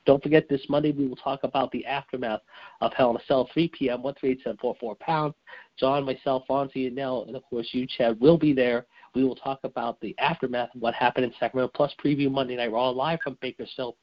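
Man, hoarse, raspy worn voice, co-host, blunt heated opinions, middling pitch, dull lo-fi sound. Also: fairly even intonation, brisk.

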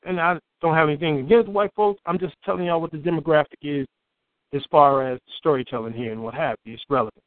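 An outspoken man from Chicago with a booming voice, sitting somewhat high in the male range. He tells his story as an interview guest, piling up repeated words when he gets going. The sound is dry and tinny, like it's coming down a phone line. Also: quick pace, no room sound.

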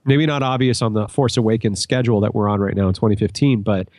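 A man's voice: lowish voice, steady tone, fast talker, darkish tone, dry recording, clean studio sound. A man speaking as a podcast guest in casual conversation, explaining things in an earnest way.

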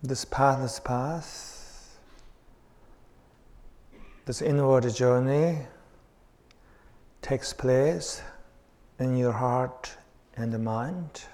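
A man leading a guided meditation as a teacher, speaking in deliberate, halting phrases with long pauses. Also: mid-range voice, darkish tone.

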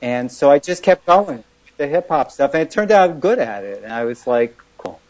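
Deadpan New man on a call. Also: mid-range voice, normal speed, poor audio. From Brooklyn. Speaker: guest rapper. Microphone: video call.

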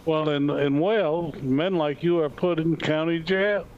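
A man chatting on a talk show in a hesitant, halting way, often pausing to search for words. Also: fairly high pitch, fairly even intonation, a little mellow. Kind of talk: casual talk show chat. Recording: dull lo-fi audio.

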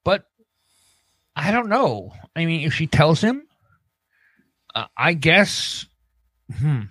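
A man's voice: fairly high pitch, very animated, slow pace, fairly bright tone, slightly rough, dry recording. A man hosting a podcast in casual chat, musing hesitantly as he thinks things over. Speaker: podcast host.

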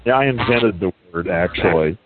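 A man with a somewhat low voice chats easily and keeps firing questions. He is heard through a narrow, phone-like line, with a faint hiss behind it. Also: expressive, normal speed. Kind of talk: casual conversation.

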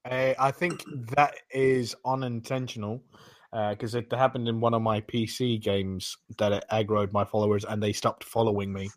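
A man's voice: fairly low pitch, flat delivery, moderate pace, no room sound. A man on a podcast panel casually retelling stories in a rambling way, often searching for names. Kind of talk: casual chat, retelling gameplay anecdotes.

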